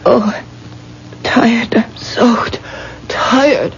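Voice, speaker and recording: Slow, creaky-voiced possessed young bride. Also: female voice, on the high side, steady tone. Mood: weary, empty. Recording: muffled, noisy old radio broadcast.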